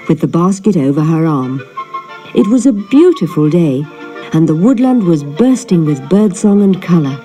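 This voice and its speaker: A woman narrating a children's fairy tale in an expressive storytelling manner. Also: fairly low pitch, rough recording. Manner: animated storytelling. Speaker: storybook reader.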